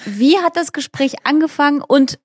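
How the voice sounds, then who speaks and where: A woman's voice: high voice, normal speed, dry recording. Woman host inviting a story, dry sound. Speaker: podcast host. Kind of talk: interview questions.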